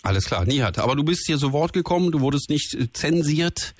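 A man's voice: a little high, animated, fairly quick, no room sound. A man hosting a call-in radio show in casual, informal talk, often jumping in with short interjections. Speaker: radio phone-in host.

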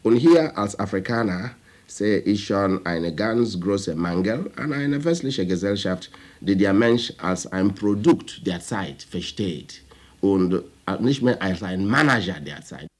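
A man's voice: expressive, unhurried. A man from Nigeria whose first language is Igbo, speaking with a Nigerian accent in an emphatic way, searching for words as he speaks. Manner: emphatic, searching for words, with big pitch swings. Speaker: theology professor. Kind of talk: radio interview statement.

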